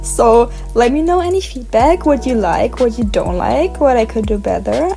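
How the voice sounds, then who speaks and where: A woman's voice: fairly high pitch, lively intonation, moderate pace, vocal fry, dark tone, soft background music. A young woman with a German accent, chatting casually in a bubbly, rambling voice-over about her drawings. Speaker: art vlogger.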